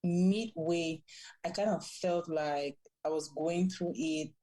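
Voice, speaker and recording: Woman guest, deep mellow voice, calm and measured. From Cameroon. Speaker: podcast guest and nonprofit founder. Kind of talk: casual podcast talk.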